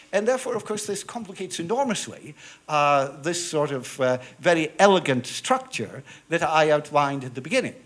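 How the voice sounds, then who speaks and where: A man speaking as a professor on a panel, pausing often with filler sounds and restarting his sentences. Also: a little high. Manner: hesitant, many filler sounds. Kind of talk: academic panel talk. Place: conference room.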